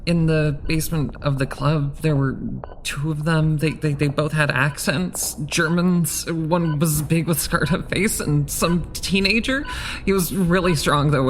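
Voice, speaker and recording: A woman's voice: low-pitched, a little hiss or hum, lightly acted. A young woman playing a frightened, confused newborn vampire in an audio drama, stammering and hesitant.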